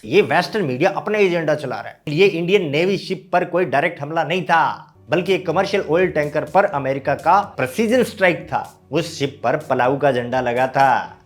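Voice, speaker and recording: A man with a slightly high voice hosts a satirical news roast. He mocks and scolds in slangy, punchy bursts and keeps up a sarcastic commentary throughout. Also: moderate pace, few pauses.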